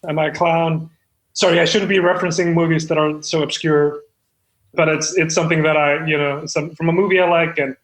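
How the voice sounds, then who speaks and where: A man plays a hapless amateur screenwriter with a high voice. He is earnest and insecure, and he stumbles haltingly through his sentences in an improvised comedy. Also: fairly bright tone, echoey room, natural voice, poor audio. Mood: wistful, earnest. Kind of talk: improvised comedy character talk.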